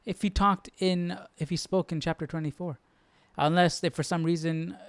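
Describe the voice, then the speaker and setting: Casting: male livestream study host, slightly higher voice, informal, thinking aloud, dry close sound. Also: fairly even intonation, normal speed, no echo. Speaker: host of a live Bible study. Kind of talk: casual livestream chat.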